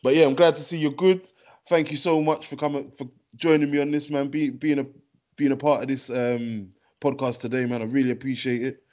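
A man's voice: medium pitch, flat delivery, brisk, darkish tone, dull audio. A man hosting a podcast interview, chatty and friendly, calling his guest "man" and rambling through his questions. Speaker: podcast host. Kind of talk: casual interview.

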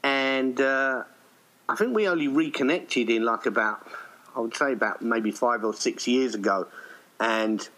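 A man's voice: mid-range voice, unhurried, bright, forceful tone, rough recording. A middle-aged man from Essex with an English accent, chatting casually and a little hesitantly as an interview guest. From Essex, England. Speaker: guest, writer-director.

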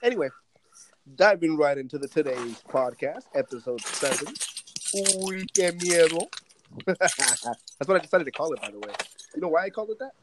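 A man hosting a casual podcast. He rambles with many fillers, then trades loud jokes and drops Spanish into his talk. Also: fairly high pitch, expressive, normal speed, background noise. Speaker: podcast host.

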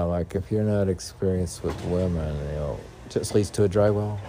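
A man's voice: lowish voice, dark tone, a little hiss or hum. A man reading his own mystical poems at home in a rambling, musing way, chuckling between lines. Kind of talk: meditative poetry recitation mixed with casual home chat. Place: home room with windows.

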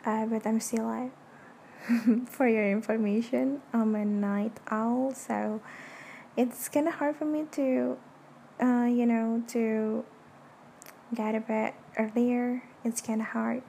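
Young indonesian woman podcasting from bed at night, soft hushed voice, kinda high, faint fan noise behind. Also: steady tone, vocal fry. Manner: soft, slow and hushed, lying in bed at midnight. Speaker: podcast host. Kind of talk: casual late-night podcast monologue. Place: dark bedroom at night with an electric fan running. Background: electric fan hum.

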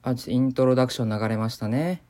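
A man rattles through an improvised game playthrough at a very quick pace. His voice is soft-edged and mid-pitched, with a deadpan way of remarking on what he sees. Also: slight background noise. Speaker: gamer doing commentary. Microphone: voice memo recording.

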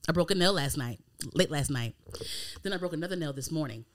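American girl around 30 doing her podcast, lowish clear voice, super slangy, cusses a lot. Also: lively intonation, fast. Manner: informal, slangy, swears freely. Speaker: podcast host. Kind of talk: casual podcast chat.

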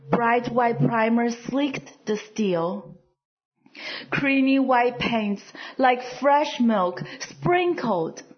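A woman reading her own poem aloud in a soft, measured way, pausing between lines. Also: on the high side, breathy edge, dull audio. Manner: soft, deliberate phrasing with pauses between lines. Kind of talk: slow, measured poetry reading.

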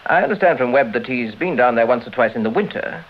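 An actor playing a brisk, discreet, friendly police inspector with an English accent in a mid-century radio drama. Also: male voice, medium pitch, expressive. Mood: grave, cautious. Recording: dull, band-limited old radio recording.

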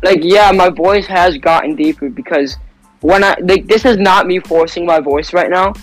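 Teen boy rapper, laid-back, higher voice, dry close mic, faint music underneath. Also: moderate pace, no echo, poor audio. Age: teens. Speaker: young rapper. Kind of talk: casual chat.

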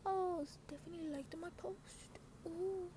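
A woman's voice: very high voice, fairly even intonation, slow, mellow. A young adult woman chatting casually as a podcast host in a scattered, slangy way, often interrupting herself. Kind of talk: casual rambling personal podcast chat. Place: outdoors with wind and traffic. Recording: windy outdoor noise, passing cars. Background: wind, cars, ambient noise.

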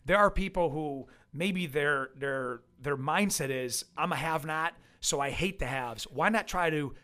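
A man's voice: a little high, measured pace, pushed and bright. A man co-hosting a podcast, speaking emphatically and pressing questions in casual conversation. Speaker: podcast co-host.

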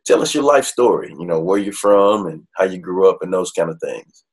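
A man's voice: low-pitched, animated, brisk, husky. A man hosting a podcast, greeting listeners in an upbeat way and introducing his guest loosely, with some hesitation. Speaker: podcast host. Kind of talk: casual interview introduction.

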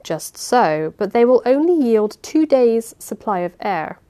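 A woman voicing a calm, cold male sea captain who speaks in a measured, lecturing way. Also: a little high, voice pushed up.

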